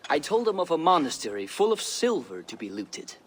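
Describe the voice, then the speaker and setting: A proud young king in a game cutscene. His voice is fairly high with big swings of intonation, earnest and dramatic. It carries a long reverb over faint noise. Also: big pitch swings, unhurried. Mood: defensive, deferential. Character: proud, hot-headed new young king of Dublin. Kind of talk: video game cutscene acting.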